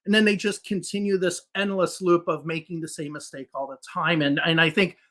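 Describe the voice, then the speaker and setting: A man's voice: a little high, steady tone, brisk, a bit bright. A man who teaches English, answering interview questions on a podcast in a thoughtful, explaining way. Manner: explanatory, thinks aloud with restarts.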